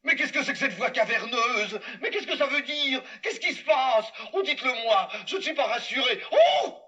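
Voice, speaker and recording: A man's voice: extremely high pitch, animated, moderate pace, sharp tone, voice pushed up, exaggerated voice. A man voicing a scheming male castle steward in a live puppet show for children, gleeful and theatrical as he talks to the audience. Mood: frightened. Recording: dull recording from far off.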